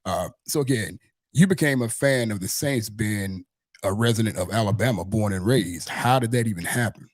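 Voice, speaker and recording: A man's voice: fairly low pitch, moderate pace, dry recording, studio quality. A man from Mississippi, hosting a casual sports fan chat in a laid-back, slangy way, often restating himself. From Mississippi, United States. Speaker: host of a sports fan show.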